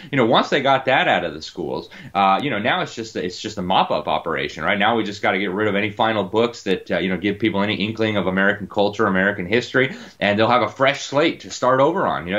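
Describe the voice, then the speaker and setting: A man's voice: lowish voice, fairly bright tone. A man giving conversational political commentary in a casual way, often saying "you know," on slightly lo-fi audio. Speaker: commentator and columnist.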